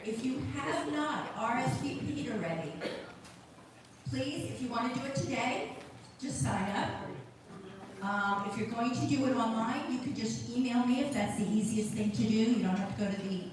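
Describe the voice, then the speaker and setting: A woman giving brisk, practical announcements about upcoming club events. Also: a little high. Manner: brisk, practical, repeating key points. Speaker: club member making announcements. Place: large echoey meeting room. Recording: echoey hall, distant mic, background noise.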